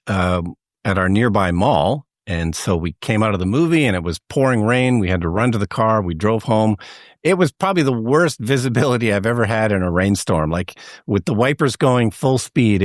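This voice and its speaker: A man co-hosting a podcast over a video call, speaking calmly and answering in short, agreeable replies. His voice is fairly low, and the sound is dry and close. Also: dry recording. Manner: measured, brief agreeing replies. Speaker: podcast co-host. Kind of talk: casual podcast chat.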